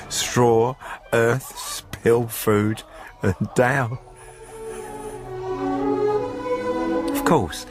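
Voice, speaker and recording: An actor playing a smug poultry farmer who coolly confesses to murder, narrating a radio drama in a measured, formal, drily self-satisfied way. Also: male voice, lowish voice. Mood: wryly amused.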